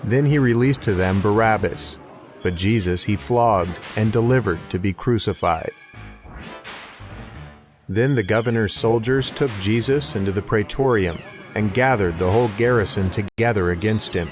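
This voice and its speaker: A man with a fairly low voice reads scripture as a narrator. His delivery is scripted storytelling, and music plays clearly behind him. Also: normal speed. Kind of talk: scripted audio Bible narration.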